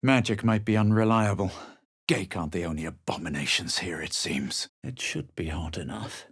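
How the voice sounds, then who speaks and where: A man playing a haughty, self-assured young adventurer in a fantasy video game, speaking in a measured, theatrical way. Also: low-pitched, slightly rough, dry recording, clean studio sound. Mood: measured, grave. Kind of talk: dramatic video game voice lines and narration.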